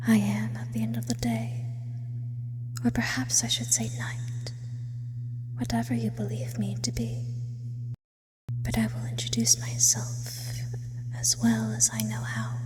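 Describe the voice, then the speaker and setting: A woman playing an eloquent, teasing sleep demon of smoke and shadow in a breathy ASMR roleplay whisper. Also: mid-range voice, a little mellow, big-room sound, lightly acted. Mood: mysterious, composed. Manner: slow, teasing, formal wordy phrasing.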